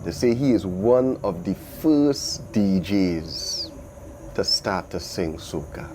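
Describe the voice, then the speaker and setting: A man's voice: low-pitched, expressive, slow, noisy background. A man with a Trinidadian accent hosting a casual interview, speaking in informal slang and repeating short affirmations. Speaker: talk show host and interviewer.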